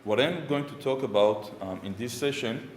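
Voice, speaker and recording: A man's voice: mid-range voice, normal speed, a little hiss or hum. A man in his thirties with an Israeli accent, giving an informal technical talk at a conference with many ums and uhs. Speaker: conference speaker. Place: conference room with projector screen. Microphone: far room mic. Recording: echoey hall from far off.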